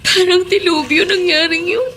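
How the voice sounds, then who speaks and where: Tearful, grieving Lenny. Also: female voice, very high voice, normal speed, bright, forceful tone, a little hiss or hum. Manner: tearful, subdued. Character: Lenny, a grieving young woman who lost her family. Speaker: relative in a radio drama.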